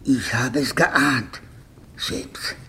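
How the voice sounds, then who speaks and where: An actor playing a fearful, resigned old English lord with a thin, quavering voice in a 1950s German radio play. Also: male voice, medium pitch, very slow, sharp tone. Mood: uneasy dread. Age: older. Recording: old radio recording, faint hiss.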